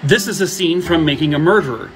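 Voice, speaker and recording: A man's voice: on the high side, fast, soft background music. Sarcastic man telling true crime stories. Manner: sarcastic jokey asides. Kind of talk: casual true crime storytelling. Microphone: phone at arm's length.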